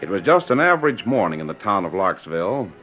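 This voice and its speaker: An actor as a stately, stirring announcer narrating a 1940s radio western in dramatic style. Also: male voice, deep voice, sing-song, fast talker, soft background music. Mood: measured. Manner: stately, theatrical. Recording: dull 1940s radio recording.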